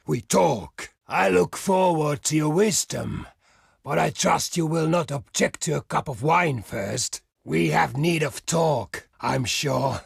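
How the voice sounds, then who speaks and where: Gravelly, proud barbarian chieftain. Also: male voice, on the high side, dry recording, clean studio sound, heavily acted. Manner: declamatory, theatrical, blunt. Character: proud, blunt, boisterous male barbarian chieftain. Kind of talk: video game character voice lines.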